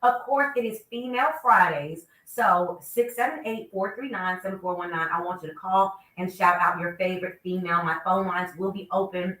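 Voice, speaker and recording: A woman's voice: lowish voice, moderate pace, few pauses, echoey room, recorded from a distance, poor audio. A woman hosting a morning radio show, chatty and upbeat. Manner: upbeat, chatty, lots of shout-outs. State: stuffy from a cold. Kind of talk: casual morning radio talk.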